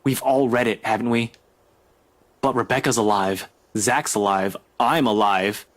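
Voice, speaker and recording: A man's voice: lowish voice, unhurried, natural voice. An actor playing an irritable, dismissive male police investigator in a visual novel game, speaking curtly and bluntly. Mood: exasperated, dismissive. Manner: curt, exasperated, dismissive.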